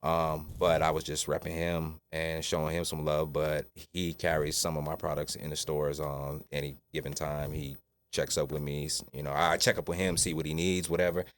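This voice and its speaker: A man's voice: rumbling low, level tone, normal speed, slight background noise. A man in his forties from Long Island, a cannabis grower talking casually as a podcast guest. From Shinnecock, Long Island. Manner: laid-back, easy laughs, says "you know what I'm saying".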